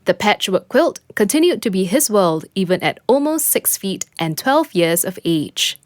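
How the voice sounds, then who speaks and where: A woman reads a scripted, reflective personal piece for a podcast. Her voice sits at an average pitch for a woman. The recording is very dry, with hardly any room sound. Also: big pitch swings, normal speed, dry recording. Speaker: podcast reader and host.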